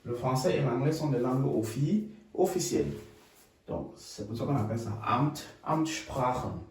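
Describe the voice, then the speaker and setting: A man from Cameroon teaching German in French, repeating phrases slowly and adding checking tags like "hmm?". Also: medium pitch, lively intonation, a little mellow, heavy reverb, far from the mic, a little hiss or hum. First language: Ngamba. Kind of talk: bilingual language lesson.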